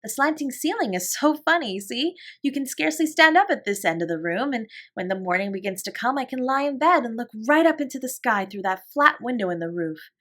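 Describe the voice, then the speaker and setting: A woman reading aloud and voicing a gentle, imaginative young girl who speaks softly and wistfully. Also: a little high, lively intonation, fairly quick, few pauses, voice pushed up. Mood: dreamy. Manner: soft, wistful, coaxing.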